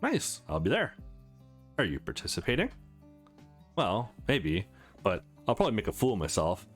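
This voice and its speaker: A man's voice: fairly low pitch, huge pitch swings, normal speed, faint music behind. Man reading as an eager, chatty young woman. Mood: upbeat.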